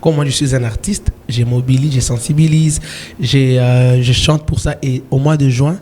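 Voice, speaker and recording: Calm mellow-voiced Congolese gospel singer. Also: male voice, mid-range voice, normal speed, a little hiss or hum. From eastern DR Congo. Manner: calm, hesitant with repeated restarts. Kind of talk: interview answers.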